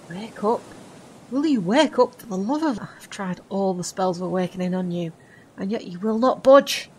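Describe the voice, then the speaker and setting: Woman acting a formal spellcaster, faintly rumbly recording. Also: lively intonation, moderate pace, a little mellow, slight background noise, close to an everyday voice. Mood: exasperated, urgent. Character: brisk female spellcaster of limbo, exasperated yet caring. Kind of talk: audio drama acting.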